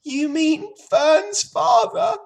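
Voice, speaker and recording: A woman's voice: high voice, wildly swooping pitch, drawn-out words, a little mellow, voice pushed up, exaggerated voice. A woman voicing an anxious, childish young male pig from a storybook, wailing and pleading in an emotional way. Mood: alarmed. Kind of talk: storybook character voice.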